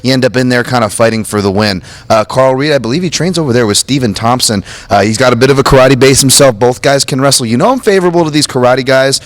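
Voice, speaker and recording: Quick-talking male fight analyst, dry sound. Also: mid-range voice, no room sound. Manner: conversational, hedging with fillers. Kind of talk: fight analysis panel chat.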